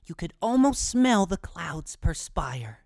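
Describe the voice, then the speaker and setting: A woman's voice: lowish voice, sing-song, slow pace, darkish tone, no echo, studio quality. A woman narrating a rhyming storybook tale in a theatrical manner.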